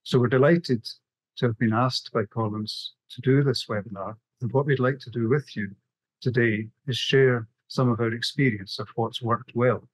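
A man's voice: mid-range voice, steady tone, normal speed, slightly rough, no room sound, low-quality recording. A middle-aged man with a Scottish accent, presenting a prepared webinar talk over a video call. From west coast of Scotland. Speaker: graphic novelist, webinar presenter.

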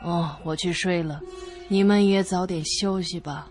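Slow, ominous male innkeeper played by a man. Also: sits high, fairly even intonation. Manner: slow, drawn-out, ominous warning tone. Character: slow-spoken, ominous innkeeper warning guests. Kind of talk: Chinese suspense radio drama acting. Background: background music.

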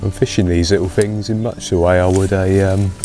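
British male angler, thirties, low unhurried voice, chatty riverside commentary over clear background music. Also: steady tone, dark tone. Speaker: angler presenting a fishing video. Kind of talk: casual fishing commentary to camera. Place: outdoors on a wooded riverbank.

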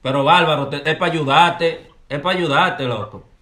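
A man's voice: fairly high pitch, normal speed, sharp tone, reverberant. A young man with a Dominican accent in casual, teasing banter as a prank show co-host, recorded indoors. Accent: Dominican Spanish. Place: living-room sofa, indoors. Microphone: shared table microphone.

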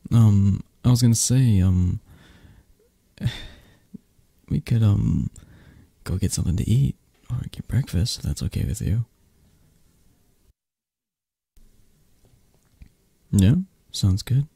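A man playing a possessive, flirty mafia boss who dotes on his lover, murmuring softly and intimately in an ASMR romantic roleplay, with a breathy, husky, hesitant and reassuring delivery. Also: on the low side, animated, unhurried, long pauses, no room sound, full character voice. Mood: gentle, caring.

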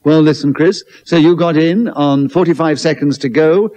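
A man's voice: a little high, normal speed, warm tone. A man hosting a comedy panel game in a theatre, courteous and effusive in a formal way. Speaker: host. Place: theatre with a live audience.

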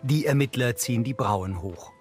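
A man's voice: medium pitch, moderate pace, soft background music. A man reading scripted audio description in short narrated sentences.

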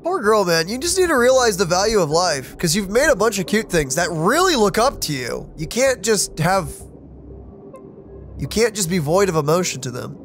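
A man giving casual, conversational commentary as host of a video game playthrough, in an animated way. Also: sits high, moderate pace. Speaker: let's play host. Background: game music.

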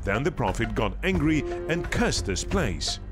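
A man reading scripted documentary narration in a lively storytelling way. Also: medium pitch, measured pace. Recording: voice-over with music behind.

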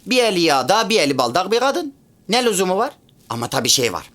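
A man's voice: high-pitched, very animated, quick pace, fairly bright tone. An actor in a comic radio play voicing a chatty, sentimental half-French man with a rural Central Anatolian Turkish accent and a pedantic streak. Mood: folksy, evasive. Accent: rural Central Anatolian Turkish, Çorum area. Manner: folksy, rambling, pedantic about grammar. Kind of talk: comic radio theatre dialogue.

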